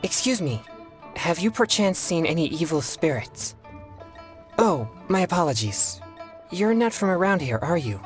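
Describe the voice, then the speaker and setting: An actor playing an earnest, polite, easily flustered teenage exorcist boy in a video game, speaking in a formal, measured way. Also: fairly high pitch, sing-song. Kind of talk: video game character dialogue. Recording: music behind the voice. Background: game music.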